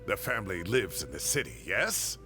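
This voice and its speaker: An actor playing a genial older retired professor in a video game, with a gravelly, expressive, grandiose delivery. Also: male voice, on the low side. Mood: grave concern. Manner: expressive, grandiose, drawn-out phrasing. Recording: faint music behind.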